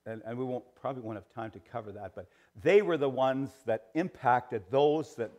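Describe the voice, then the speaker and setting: Middle-aged guy teaching church history, mid pitch, lots of ums, trails off soft. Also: normal speed. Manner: animated, gesturing, many fillers and restarts, trails off quietly. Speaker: Sunday school teacher. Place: small church sanctuary, indoors.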